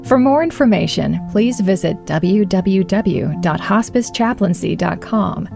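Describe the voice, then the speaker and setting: A woman announcer reading a scripted podcast intro in an even, promotional way. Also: medium pitch, measured pace, creaky, faint music behind. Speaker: show announcer.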